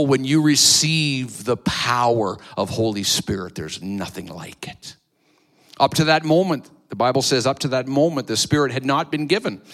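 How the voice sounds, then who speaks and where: Pastor, male, slow bright delivery, loud preaching voice that rises and falls. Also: mid-range voice. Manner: loud voice, preaching with swells and drops; sings hymn lines softly, repeats phrases. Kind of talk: sermon.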